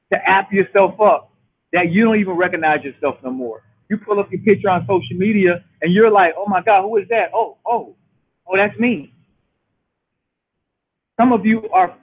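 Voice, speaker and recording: A man in his late forties delivers a motivational talk, hammering his points home by repeating them. His voice sits high and sounds husky. It comes through a thin, tinny phone line. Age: 40s. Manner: preachy, emphatic and repetitive. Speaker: show host.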